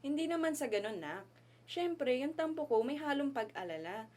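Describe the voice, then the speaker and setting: A woman acts as a worrying mom in an amateur radio play. Her fairly high voice is fretful and sulky, nagging in a loving way. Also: very animated, moderate pace, darkish tone. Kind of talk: amateur radio drama acting.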